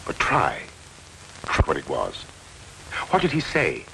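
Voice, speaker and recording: A man playing a persistent, wry investigator who narrates in measured, dry 1940s film style. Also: rumbling low, wildly swooping pitch, vocal fry, noisy background, full character voice. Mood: calm. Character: dogged middle-aged male investigator, wry and persistent. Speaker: detective narrator.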